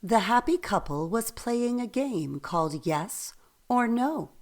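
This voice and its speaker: A woman narrating a radio play as a storyteller, reading in a measured way. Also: on the high side, animated, darkish tone.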